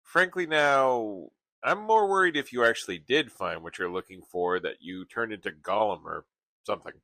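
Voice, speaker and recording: A man's voice: on the low side, animated, normal speed, sharp tone, no room sound. A male actor playing a laid-back, sardonic best friend in a radio comedy play, dry-witted and wisecracking.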